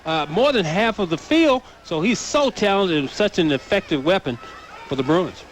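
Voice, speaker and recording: A man's voice: high voice, normal speed. An American man giving football analysis as a radio color commentator, with outdoor noise behind him. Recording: noisy outdoor stadium radio broadcast. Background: steady outdoor ambient noise.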